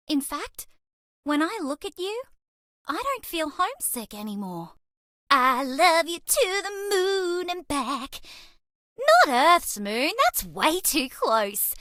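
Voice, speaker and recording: A woman's voice: extremely high pitch, bright, forceful tone, dry recording, studio quality, full character voice. A woman voicing a sweet, eager young female alien mouse in a dating game, bubbly, earnest and quirky. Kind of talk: dating game character voice lines.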